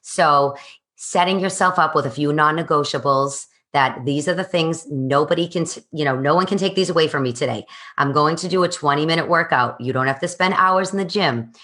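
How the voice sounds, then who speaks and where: A woman's voice: low voice, brisk, a bit bright. A woman in her forties, a fitness coach, speaking in an emphatic, encouraging way. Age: 40s. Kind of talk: motivational interview answers.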